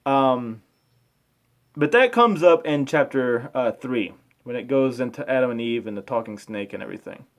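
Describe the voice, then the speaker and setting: A man giving informal spoken commentary as a video host, halting, repeating himself and arguing his points. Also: medium pitch, lively intonation. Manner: halting, repetitive, argumentative.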